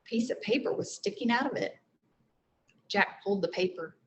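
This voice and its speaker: A woman's voice: medium pitch, moderate pace. A woman teacher reading a storybook aloud to children, explaining things simply as she goes. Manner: explains simply, with frequent check-in questions.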